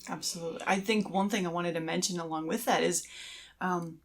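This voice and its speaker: A woman's voice: lowish voice, steady tone, a little fast. A middle-aged woman chatting as a podcast co-host, agreeably explaining and giving casual advice. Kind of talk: casual conversational advice on creativity.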